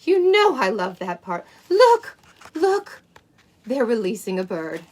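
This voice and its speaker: A woman's voice: on the high side, big pitch swings, takes it slowly, darkish tone, breathy edge, full character voice. A woman playing a sweet, wide-eyed unicorn who hosts story time for children, with cooing wonder and exaggerated emphasis. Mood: enchanted, cooing. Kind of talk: animated picture-book reading for children.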